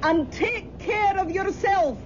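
An actress playing a practical, caring older aunt with a Scottish accent in a 1970s radio drama. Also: female voice, extremely high pitch, animated, slow pace, heavily acted. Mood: brisk urgency. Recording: dull, faintly noisy 1970s radio recording.

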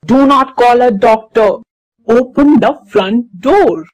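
A woman's voice: fairly high pitch, takes it slowly, darkish tone, no room sound, rough recording. A woman voicing a young, easily exasperated schoolboy with a complaining manner in a children's cartoon. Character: exasperated young schoolboy, whiny and excuse-making.

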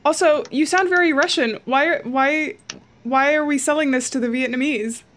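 A woman's voice: high-pitched, moderate pace, fairly bright tone. A young woman playing a horror game, chatty and jittery, joking at her own fear, on a studio mic in a small room at home. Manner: jittery, chatty, self-mocking. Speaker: gaming YouTuber. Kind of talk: horror game let's play commentary. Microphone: studio mic with pop filter, headphones.

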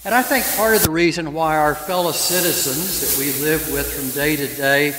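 A man in his seventies preaching a sermon, with a somewhat high voice for a man. He tells stories gently and asks the congregation questions as he goes. His voice rings through a long church reverb, with clear hiss underneath. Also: normal speed. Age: 70s. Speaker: priest. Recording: echoey church hall with hiss.

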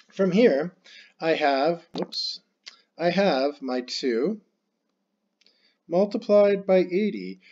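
A man's voice: fairly high pitch, darkish tone, lo-fi sound. A man teaching a calculus problem step by step while writing it out on screen. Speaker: math teacher. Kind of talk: screen-recorded math lesson.

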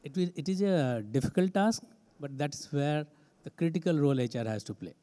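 A man's voice: fairly high pitch, animated, fairly quick, dark tone. A man with an Indian English accent speaking emphatically on a conference panel as an HR head, into a handheld mic on a large stage. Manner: emphatic, repetitive phrasing. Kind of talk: panel discussion answer.

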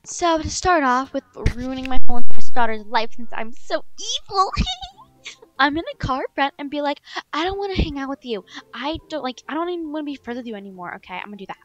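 A high-pitched evil stepmother acted out by a woman for a game roleplay. The voice is bright and girlish, gushing with fake sweetness and full of gloating spite. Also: expressive, a little fast, low-quality recording. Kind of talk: improvised video game roleplay. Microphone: headset.

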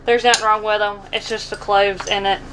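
A woman's voice: medium pitch, little pitch movement, a little fast, noisy background. An American woman in her twenties casually talking through a cooking demonstration as a vlog host, recorded on a camera in a small indoor kitchen. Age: 20s.

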